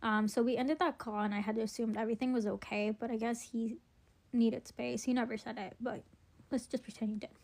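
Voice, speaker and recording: A woman's voice: on the high side, steady tone, brisk, creaky, a little mellow. A young woman chatting casually through a relationship storytime while doing her makeup, rambling with lots of ums and likes and a wry edge.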